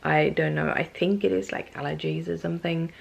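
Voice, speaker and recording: Stuffy low-voiced woman vlogging. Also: fairly even intonation, a little fast, creaky, breathy edge. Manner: rambling, with many ums and restarts. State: stuffy and losing her voice. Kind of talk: casual talking-to-camera vlog.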